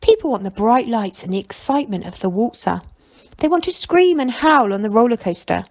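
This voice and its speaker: A woman voicing a gruff, wistful fairground stallholder man in a storybook, talking in folksy dialect. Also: a little high, lively intonation, a little fast, darkish tone. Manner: folksy dialect speech, marvelling.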